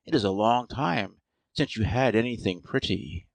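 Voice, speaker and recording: A man's voice: lowish voice, lively intonation, moderate pace, vocal fry, dry recording. Wry artist played by a man, dry recording. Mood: wry, generous. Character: wry, generous male artist and master. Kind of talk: dramatized audiobook reading.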